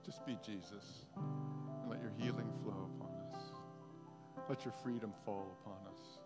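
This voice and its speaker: Man praying softly over guitar music. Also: lowish voice, steady tone. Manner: halting, repeats words, speaks softly and intimately. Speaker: pastor. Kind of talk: prophetic prayer ministry.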